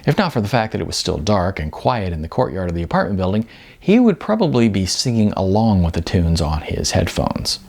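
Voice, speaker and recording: A man's voice: sits low, big pitch swings, brisk, vocal fry, darkish tone. A man narrating an audiobook story in a conversational, wry way.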